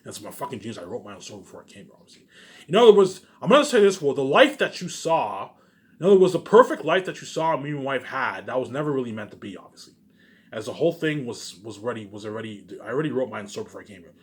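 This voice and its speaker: Fast-talking man in an emphatic, rambling monologue. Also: medium pitch, sing-song. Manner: repetitive, circling back over phrases, often tacking on "obviously"; emphatic, swearing. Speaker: vlogger.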